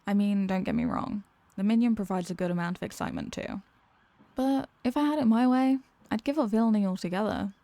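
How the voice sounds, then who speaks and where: A woman's voice: medium pitch, fairly quick, mellow, dry recording, close to an everyday voice. A woman playing an exasperated, sarcastic supervillain who is secretly weary of evil, in a theatrical comedic ASMR roleplay monologue. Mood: weary, confessional.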